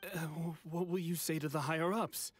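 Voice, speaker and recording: A man's voice: a little high, steady tone, normal speed, breathy edge. A man playing a world-weary, regretful runaway in an anime-style video game, speaking earnestly with music behind him. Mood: surprised. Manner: earnest, confessional. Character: regretful, world-weary young male runaway returned home.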